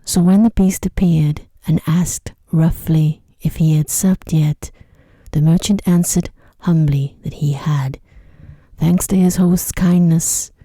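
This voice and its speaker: A woman reading a sleep story in a soft-spoken ASMR style, with a gentle, hushed, unhurried delivery. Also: low-pitched, steady tone, dry recording.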